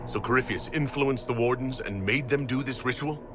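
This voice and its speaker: A man's voice: mid-range voice, normal speed, background noise, lightly acted. Man playing a blunt heroic game commander. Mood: challenging. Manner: blunt, challenging questioning. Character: stern heroic male commander confronting villains. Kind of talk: dramatic fantasy video game acting.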